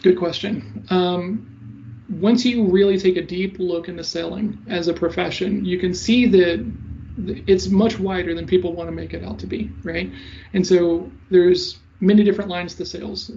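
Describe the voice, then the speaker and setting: A man in his thirties who talks fast and stutters a little, giving casual interview answers as a sales coach. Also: high voice, fairly even intonation, gravelly, dark tone, a little hiss or hum. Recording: slightly dull lo-fi audio.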